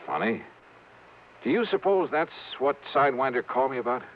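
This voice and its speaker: An actor playing a tough, wry insurance investigator in a radio noir drama, brisk and hard-boiled, with an American accent. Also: male voice, medium pitch, lively intonation, close to an everyday voice. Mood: wry banter. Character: wry, tough freelance insurance investigator narrating his case. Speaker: lead detective and narrator. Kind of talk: 1950s radio noir acting. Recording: tinny, noisy old radio recording.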